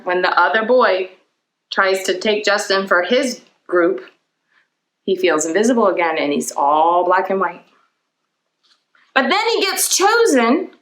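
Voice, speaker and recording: Lady doing kids storytime, medium pitch, explains stuff simply and keeps asking the little ones questions. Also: fairly bright tone, poor audio. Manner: asks the listeners questions and answers them, simple explanatory phrasing. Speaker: children's librarian hosting storytime. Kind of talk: children's storytime read-aloud and talk to young viewers.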